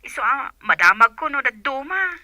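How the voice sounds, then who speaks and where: Very high, piercing Filipino student on the phone. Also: female voice, big pitch swings, normal speed, pushed and bright, no room sound, heavily acted. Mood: puzzled. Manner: insistent, questioning. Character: inquisitive young female student phoning her elder. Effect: telephone filter. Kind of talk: radio drama acting.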